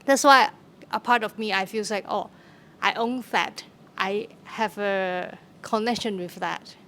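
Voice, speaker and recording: A young woman from Hong Kong with a Hong Kong accent, answering in a halting way with many fillers and restarts. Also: medium pitch, fairly bright tone. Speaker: theatre practitioner. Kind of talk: conversational interview answer. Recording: faint background noise.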